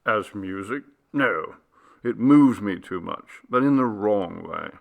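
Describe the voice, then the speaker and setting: A man acting a nervous older gentleman, creaky-voiced. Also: lowish voice, takes it slowly. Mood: exasperated, rueful. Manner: hesitant, rueful, exclamatory. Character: nervous, sentimental older gentleman ship passenger, musing and puzzled.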